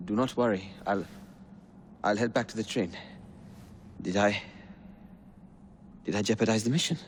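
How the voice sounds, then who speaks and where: An actor playing an earnest, dutiful, self-doubting Victorian assassin in a video game cutscene, polite and formal, music behind. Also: male voice, fairly low pitch, normal speed, slight background noise, natural voice. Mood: apologetic.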